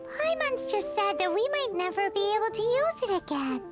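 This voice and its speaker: A tiny, perky fairy girl from a video game, with an extremely high, squeaky voice. She is blunt and childlike, and her pitch bounces around a lot over clearly audible music. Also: big pitch swings, normal speed. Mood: skeptical. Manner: refers to self in third person, blunt. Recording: music behind the voice. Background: background music.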